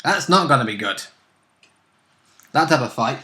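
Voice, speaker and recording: Casual man with a bright voice. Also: medium pitch, animated, normal speed. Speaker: co-host commenting on the game. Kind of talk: casual chat, commentary on a tabletop game.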